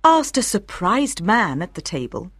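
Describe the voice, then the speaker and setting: A woman reading scripted audiobook narration, telling a story with clear articulation for language learners. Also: mid-range voice, sing-song, measured pace, dry recording.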